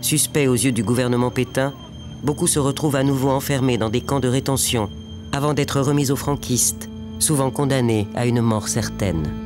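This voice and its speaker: A man in the middle pitch range narrates a history documentary in a measured, solemn manner. His delivery sounds scripted and steady. Music plays underneath him.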